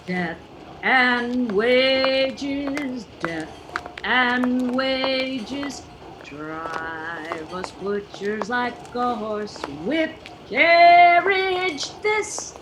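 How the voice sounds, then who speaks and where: Lady doing a worn-out aggrieved butcher, really slow high rhythmic verse, noisy recording. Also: animated. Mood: weary lament. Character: weary, aggrieved meat cutter chanting about deadly work.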